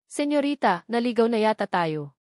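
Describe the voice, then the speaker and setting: A woman's voice: a little high, sing-song, normal speed, darkish tone, no room sound. Polite Filipino maidservant, voiced by a woman, dry sound. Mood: worried. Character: deferential female servant companion to a young lady.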